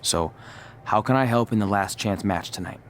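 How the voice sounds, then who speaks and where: An actor playing a cocky, wisecracking champion wrestler in a video game, flippant and boastful. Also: male voice, on the low side, normal speed, natural voice. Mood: eager, teasing. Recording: faint background noise.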